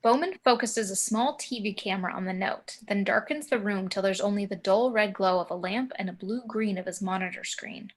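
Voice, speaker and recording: A woman's voice: mid-range voice, a little fast, hardly any pauses. A woman reading out scene headings and action description as the narrator of a screenplay table read. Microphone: home video call.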